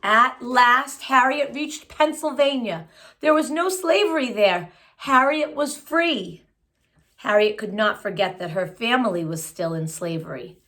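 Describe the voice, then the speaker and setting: A woman's voice: a little high, animated, unhurried, sharp tone. A woman reading a children's picture book aloud, phrasing it expressively and adding simple explanatory asides for young listeners. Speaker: children's story reader.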